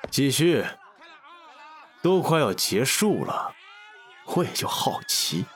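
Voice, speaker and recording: Man playing a sly old gambling boss, slow and swooping. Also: medium pitch, very animated. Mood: mock-courteous, taunting. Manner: drawn-out, mock-courteous, taunting. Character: sly, needling older male gambler, a rival boss. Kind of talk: Chinese audio drama acting.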